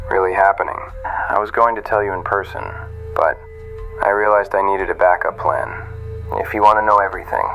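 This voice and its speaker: A troubled man with a deep voice records a secret message in a noir audio drama. He is grave, halting and earnest, with quiet music underneath. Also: low-quality recording. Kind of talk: scripted audio drama monologue. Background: background music.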